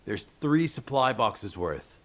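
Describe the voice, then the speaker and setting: A man's voice: mid-range voice, lively intonation, takes it slowly. An actor playing a stern male military field operative in a game, speaking in a firm, businesslike way. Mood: urgent. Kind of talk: dramatic game cutscene acting.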